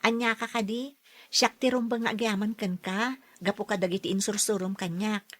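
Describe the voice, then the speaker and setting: Gentle, caring young college woman, acted by a woman. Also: medium pitch, flat delivery, normal speed, natural voice. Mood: grateful. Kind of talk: radio drama acting.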